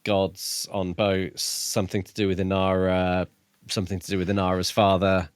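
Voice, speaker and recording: A man's voice: sits low, completely flat delivery, normal speed, clean tone, dry recording, studio quality. A man co-hosting a podcast, talking casually about books in a tentative, questioning way. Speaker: podcast co-host. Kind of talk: casual book discussion.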